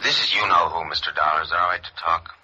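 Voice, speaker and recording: An actor playing a wary, tough-talking petty thief, furtive and slangy, through a telephone filter in a 1950s radio noir. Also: male voice, sits low, fast, sharp tone, slightly rough, exaggerated voice. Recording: dull vintage radio, filtered phone voice.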